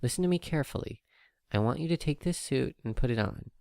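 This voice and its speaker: A man voicing a worried, kindly female unicorn medical officer in an audio story, speaking gently and carefully as if to a child. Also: mid-range voice, lively intonation, quick pace, creaky, no echo, clean studio sound, voice pushed up. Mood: gentle, urgent.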